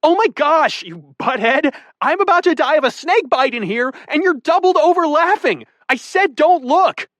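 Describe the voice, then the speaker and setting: A man's voice: animated, normal speed, hardly any pauses, no room sound. A man voicing a panicked young woman who shrieks and scolds frantically. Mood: frantic scolding. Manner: shrill, frantic, yelling.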